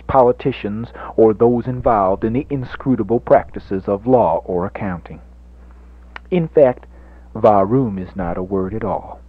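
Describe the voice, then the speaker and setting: A man playing a theatrical, pompous evangelist reverend who preaches a parody radio sermon in oratorical swells and hushed drops. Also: mid-range voice, lightly acted. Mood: folksy, pompous. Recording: dull lo-fi 1980s radio recording.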